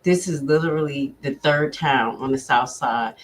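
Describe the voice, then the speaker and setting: A woman's voice: a bass voice, normal speed, a little hiss or hum. A woman vlogger giving blunt, profane commentary while reacting to a recording and talking straight to her viewers. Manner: addresses viewers directly.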